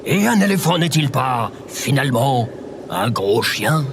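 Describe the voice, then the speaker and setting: A man playing an overly polite, gently naive sidekick in a comedic audio drama. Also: medium pitch, very animated, unhurried, creaky, noisy background. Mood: earnest, conciliatory. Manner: overly polite, formal phrasing. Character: earnest, formal, gently naive male sidekick.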